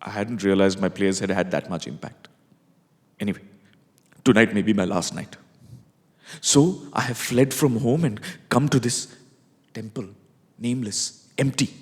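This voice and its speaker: An actor playing a doomed, sleep-cursed playwright, delivering a rueful, desperate stage monologue in a theatrical, deliberate manner. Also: male voice, lowish voice. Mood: resigned, solemn. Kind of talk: dramatic stage monologue reading. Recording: long hall-like reverb.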